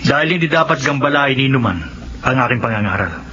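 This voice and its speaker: An actor playing an imperious, stern Filipino preacher and husband in a radio horror drama. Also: male voice, medium pitch, expressive, quick pace, bright, forceful tone. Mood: cold, dismissive. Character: stern, imperious self-styled preacher, a married man. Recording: dull radio sound, faint noise.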